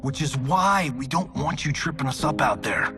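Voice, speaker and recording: A man's voice: on the high side, lively intonation, moderate pace, music in the background. An actor playing a wry, streetwise male hero in a video game, talking casually. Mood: firm. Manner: wry, colloquial.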